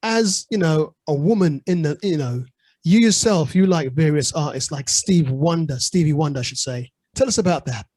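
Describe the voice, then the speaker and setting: A man's voice: fairly high pitch, moderate pace, a little mellow, dry recording. A man hosting a music interview show, enthusiastic, saying "you know" over and over. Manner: enthusiastic, repeats "you know", says "brilliant". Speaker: radio show host.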